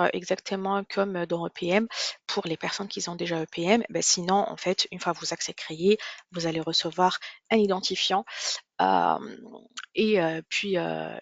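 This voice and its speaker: A presenter giving a software demonstration in a webinar, explaining step by step in a halting way, often repeating and rephrasing. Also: mid-range voice, vocal fry, no echo, dull audio. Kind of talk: product demonstration webinar, semi-scripted explanation.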